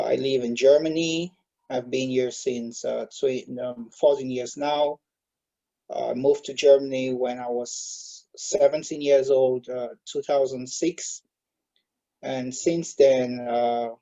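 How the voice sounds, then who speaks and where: A man's voice: mid-range voice, mellow, low-quality recording. A man in his thirties from Cameroon, a guest in an online interview, speaking hesitantly with many filler sounds. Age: 30s. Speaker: guest, solar industry expert.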